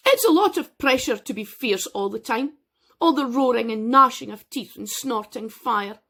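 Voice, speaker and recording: Woman doing a storybook dragon, male, young, sad, whiny, high, plaintive. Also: expressive, normal speed, sharp tone, heavily acted.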